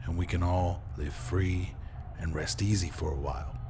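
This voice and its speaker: An actor playing a determined, streetwise rebel in a radio drama, earnest and plain-spoken. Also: male voice, low-pitched, steady tone, normal speed, background noise, lightly acted. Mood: earnest, resolute. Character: determined, streetwise rebel man rallying a friend.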